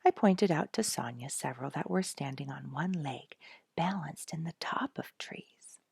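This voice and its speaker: A woman's voice: sits low, lively intonation, normal speed, creaky, darkish tone, breathy edge, no room sound. A woman storyteller reading a scripted nature narrative aloud.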